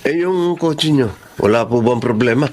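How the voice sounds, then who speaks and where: A man's voice: mid-range voice, expressive, moderate pace, a little mellow, close to an everyday voice. A man with a Filipino accent playing a caring, respectful grown son in an audio drama. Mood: concerned. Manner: polite, earnest.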